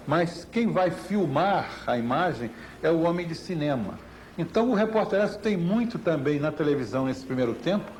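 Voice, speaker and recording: A man's voice: on the high side, a little mellow. A Brazilian man giving unscripted explanations, posing rhetorical questions and answering them himself. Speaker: interviewed commentator on media history. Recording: faintly noisy old TV interview.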